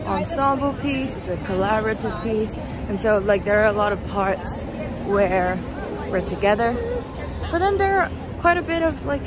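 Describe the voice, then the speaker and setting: A young woman, tired and sleep deprived, chatting casually and haltingly in a rambling, self-deprecating way as she walks with her phone. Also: a little high. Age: young adult. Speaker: vlogger, student performer. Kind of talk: casual walking vlog, rambling chat. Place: outdoors on a city street at night, walking. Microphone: phone at arm's length. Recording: noisy outdoor street, traffic ambience.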